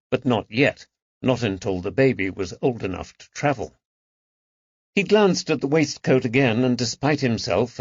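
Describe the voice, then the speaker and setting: A man's voice: mid-range voice, no echo. Guy narrating a historical mystery audiobook, scripted read, dry close sound, bit muffled and lo-fi.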